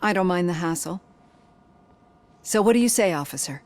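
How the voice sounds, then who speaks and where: Lady voicing a police psychologist in a game, lowish voice, polite and a bit hesitant. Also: animated, normal speed, darkish tone, lightly acted. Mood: earnest. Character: earnest female police psychologist. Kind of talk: video game cutscene acting.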